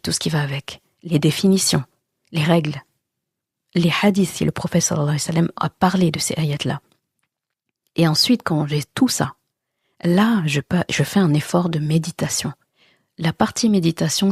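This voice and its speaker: A woman's voice: deep voice, darkish tone, slightly rough, dry recording, studio quality. A woman hosting a podcast and giving a religious teaching talk, earnest and reassuring as she speaks straight to the listener. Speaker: podcast host.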